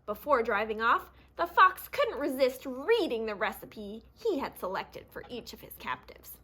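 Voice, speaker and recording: A woman reading a children's story aloud in an animated, theatrical storytelling manner. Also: on the high side, unhurried, slightly rough. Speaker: storybook reader. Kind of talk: expressive scripted children's read-aloud.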